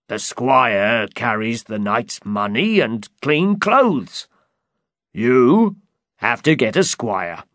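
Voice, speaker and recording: A man's voice: medium pitch, a bit bright, dry recording, clean studio sound. A man voicing a friendly, practical innkeeper in a storybook, hearty and obliging in manner. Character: loud, friendly, practical male innkeeper humoring an eccentric. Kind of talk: dramatized storybook reading.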